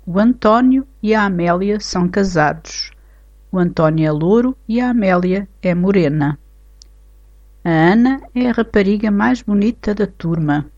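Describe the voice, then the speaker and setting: A woman with a European Portuguese accent reading example sentences aloud in a slow, careful, clearly articulated way for a language lesson. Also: medium pitch, darkish tone. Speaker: language teacher. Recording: slightly dull lo-fi recording.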